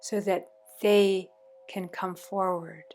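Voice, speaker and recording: A woman leading a guided meditation in measured, short phrases with pauses between them. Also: mid-range voice, fairly even intonation, a little mellow, faint music behind.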